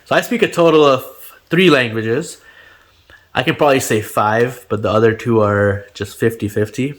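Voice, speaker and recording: A man's voice: mid-range voice, moderate pace. Chatty young man from Gujarat. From India, Gujarat. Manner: chatty, laughs easily, frequent fillers. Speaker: channel host being interviewed. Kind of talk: casual conversational interview.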